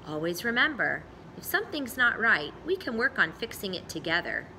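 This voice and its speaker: A caring, wise storybook papa, read out by a woman, talks gently and reassuringly. The voice is bright and clear in its intent to comfort. Faint noise is audible behind it. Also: medium pitch, very animated, moderate pace, heavily acted, rough recording.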